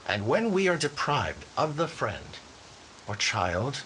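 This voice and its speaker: A man plays a solemn funeral minister in a radio drama. His florid oratory swings widely in pitch. The recording carries clear background noise. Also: sing-song, slow, a bit bright, low-quality recording. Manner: grave, florid, declamatory.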